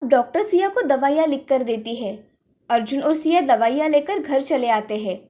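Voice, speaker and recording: A woman's voice: high voice, animated, moderate pace, dark tone. A woman storyteller reading scripted narration for a moral tale.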